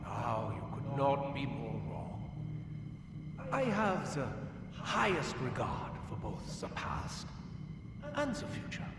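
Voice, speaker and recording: An actor playing a pompous, menacing Nazi scientist officer with a German accent and a grandiose, mock-polite delivery in a video game audio log. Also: male voice, medium pitch, huge pitch swings, slow pace, pauses often, recorded from a distance, heavily acted. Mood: menacing politeness. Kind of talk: scripted dramatic audio log acting. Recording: echoey long-reverb hall, loud background noise.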